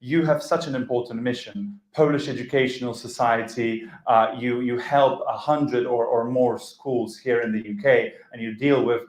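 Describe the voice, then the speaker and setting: A man's voice: medium pitch, fairly even intonation, normal speed, hardly any pauses, roomy sound. A man moderating a conference panel, hesitant, with many fillers. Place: conference hall.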